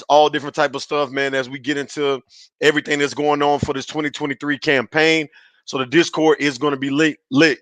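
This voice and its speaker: Hoarse, raspy man hosting a podcast. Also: medium pitch, a bit bright, dry recording. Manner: hyped, slangy, repeats "man" often. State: hoarse from yelling at practice. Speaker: podcast host. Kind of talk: casual sports podcast talk. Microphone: headset.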